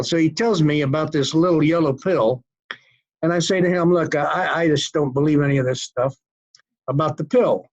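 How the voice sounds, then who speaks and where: A man in his seventies telling stories as an interview guest in a folksy, unhurried, wry manner. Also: a little high, muffled recording. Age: 70s. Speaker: cardiologist guest. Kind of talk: conversational storytelling interview.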